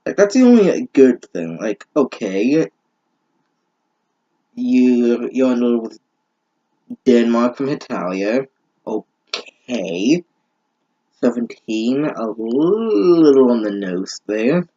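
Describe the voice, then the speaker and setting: Exasperated man with animated, swooping pitch. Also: slow pace, pauses often, lo-fi sound. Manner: exasperated, sarcastic, sweary. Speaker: host of a bad-fanfiction reading series. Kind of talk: mocking dramatic reading with asides.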